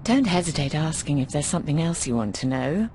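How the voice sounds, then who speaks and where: A woman's voice: deep voice, lively intonation, fairly quick, warm tone, a little hiss or hum. An actress playing a worldly, teasing middle-aged landlady in a video game, breezy and wry, with a theatrical drawl. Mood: warm, musing. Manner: theatrical, drawling, calls people darling. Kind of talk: video game dialogue acting.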